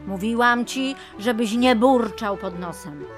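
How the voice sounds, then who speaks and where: A woman's voice: fairly high pitch, a little slow, over music, close to an everyday voice. A woman playing a domineering, sardonic aunt who scolds theatrically in a radio comedy drama. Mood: scolding, sardonic.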